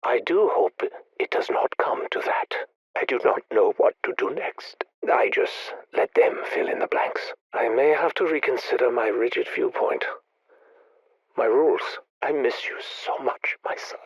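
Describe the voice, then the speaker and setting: An actor playing a grieving, obsessive male scientist, speaking in a measured, brooding, confessional way in video game audio logs. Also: mid-range voice, expressive, slightly rough, no echo, highs cut off.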